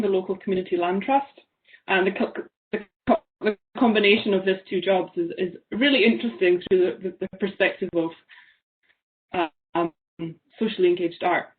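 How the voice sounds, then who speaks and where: Young Scottish woman on a tinny video call. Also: mid-range voice. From Isle of Lewis, Scotland. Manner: frequent ums, thoughtful. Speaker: seminar speaker. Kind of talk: online seminar presentation. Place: small room at home. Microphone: laptop webcam on a video call.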